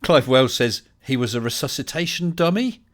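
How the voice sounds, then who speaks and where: This is a man hosting a live online chat stream. He talks bluntly and mockingly, and he laughs a lot. His pitch sits in an ordinary middle range. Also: expressive, normal speed. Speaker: host.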